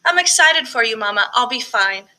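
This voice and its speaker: Woman playing an anxious, bright-voiced young girl. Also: on the high side, animated, normal speed. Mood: brave, hopeful. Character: anxious young girl devoted to her mama.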